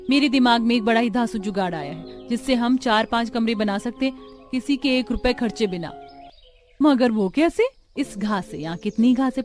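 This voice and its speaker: A woman's voice: medium pitch, darkish tone, close to an everyday voice. A woman voicing practical, outspoken young village daughters-in-law in a cartoon family story. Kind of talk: Hindi cartoon story dialogue.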